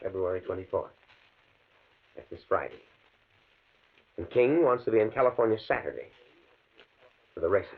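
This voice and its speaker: An actor playing a grim, fatalistic professor with a deliberate, brooding manner in a 1940s radio drama. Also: male voice, sits low, animated, breathy edge, heavily acted. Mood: fatalistic, resolute. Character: grim, fatalistic male professor driven to revenge. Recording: tinny, band-limited old radio recording.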